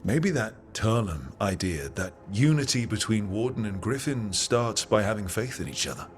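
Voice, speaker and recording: An actor playing a steady, encouraging hero with dry wit in a fantasy video game, earnest and gently teasing. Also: male voice, on the low side, moderate pace, lightly acted. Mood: teasing, warm. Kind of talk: dramatic video game dialogue.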